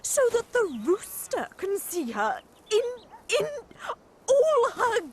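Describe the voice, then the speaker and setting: A woman voicing an excitable, gossiping female animal in a cartoon, with a breathless, theatrical manner. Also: extremely high pitch, slow, slight background noise, exaggerated voice. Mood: scandalised. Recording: music behind voice.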